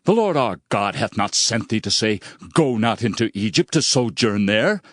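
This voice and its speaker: An actor playing a proud, defiant accuser with a scornful manner in a dramatized scripture reading. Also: male voice, fairly low pitch, big pitch swings, moderate pace, a bit bright, dry recording, clean studio sound. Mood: scornful accusing. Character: proud, defiant male accuser challenging a prophet.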